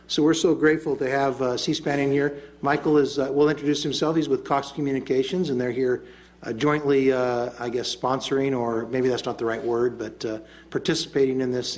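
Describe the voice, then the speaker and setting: A man's voice: mid-range voice, darkish tone. A man serving as mayor, chairing a council meeting in a joking, rambling way with many fillers. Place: council chamber.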